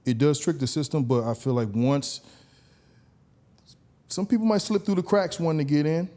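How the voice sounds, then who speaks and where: A man hosting a podcast, talking in a relaxed, conversational way with lots of "you know"s. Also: fairly high pitch, normal speed. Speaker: podcast host.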